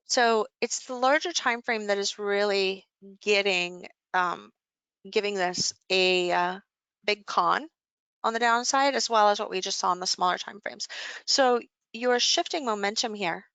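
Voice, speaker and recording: A woman's voice: mid-range voice, pushed and bright, clean tone, dry recording, rough recording. A woman coaching a live session, explaining things informally and conversationally as she thinks aloud over charts.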